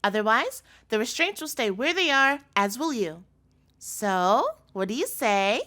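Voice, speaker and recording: A woman's voice: fairly high pitch, lively intonation, measured pace, bright, forceful tone. A woman playing an obsessive, doting captor girlfriend in a scripted audio roleplay, speaking directly to the listener in a cutesy tone with menace in it. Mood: doting, insistent.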